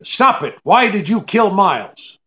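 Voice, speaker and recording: An actor playing a tough, shrewd private eye in old-time radio drama style, clipped and hard-boiled. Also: male voice, sits high, sing-song, measured pace, pushed and bright, dull audio, heavily acted. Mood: hard, accusing.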